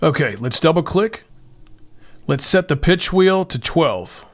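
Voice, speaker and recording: Male tutorial host, slightly high-pitched voice, short plain step-by-step instructions, music behind him. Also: animated, unhurried. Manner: short, simple instructional phrases. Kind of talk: step-by-step instructional screencast narration.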